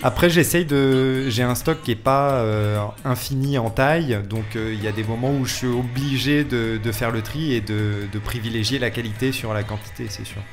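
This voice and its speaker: A man with a medium-pitched voice talks as a guest in a relaxed radio conversation. He explains at length, doubling back on words as he goes, while music plays softly behind him. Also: moderate pace, few pauses. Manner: explanatory, restarts and repeats words. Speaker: film set decorator, radio guest. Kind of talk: casual conversational interview.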